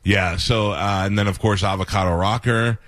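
Man, radio host, very low voice, rambling casual storytelling, dry studio sound. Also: fairly even intonation, normal speed, fairly bright tone, no echo.

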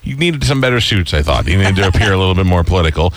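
A man's voice: deep voice, expressive, very fast, dry recording. An American man hosting a radio interview in casual, joking chat, with a blunt, teasing and skeptical manner.

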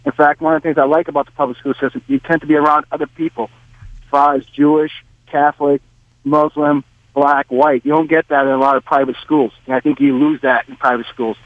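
Male caller, raised in Massachusetts, born in Portugal, rapid-fire, tinny phone sound. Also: on the high side, level tone, no room sound. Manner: rapid, lists points one by one.

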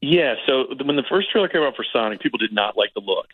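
A man's voice: mid-range voice, animated, fast talker, dry recording, narrow, tinny audio. A middle-aged man chatting casually and in a rambling way as a film critic on a radio show, often repeating himself for emphasis. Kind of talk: casual movie review chat.

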